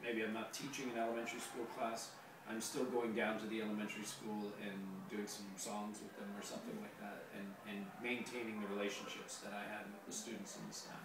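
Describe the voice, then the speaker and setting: A hoarse man, a teacher being interviewed, answering casually with many pauses and the odd dry joke. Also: lowish voice, little pitch movement. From Canada. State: hoarse, losing his voice. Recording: very echoey room, distant mic, noisy.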